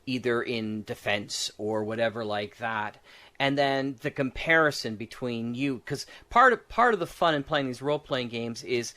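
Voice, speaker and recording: Guy on a podcast just rambling thru game design ideas out loud, medium pitched voice, casual. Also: animated, moderate pace. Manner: rambling, thinking aloud. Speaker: podcast co-host.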